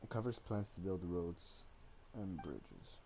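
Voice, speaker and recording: Very deep-voiced man streaming a game. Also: animated, gravelly, mellow, slight background noise, rough recording. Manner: halting, stumbling reading, muttering. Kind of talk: casual gameplay stream, reading game text aloud.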